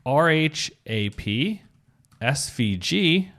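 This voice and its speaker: A man's voice: on the high side, sing-song, extremely slow, a bit bright. A man hosting a step-by-step coding tutorial, explaining as he goes and spelling things out in an instructional way. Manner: instructional, spells out code letter by letter. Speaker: web design tutorial host.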